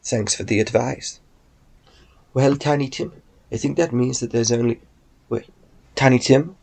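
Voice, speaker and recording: A man playing a reluctant, weary gunfighter in a radio drama. He talks slowly and dryly in a gruff, creaky voice of middling pitch, with faint noise around it. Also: fairly even intonation, darkish tone. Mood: tense. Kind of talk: radio play acting.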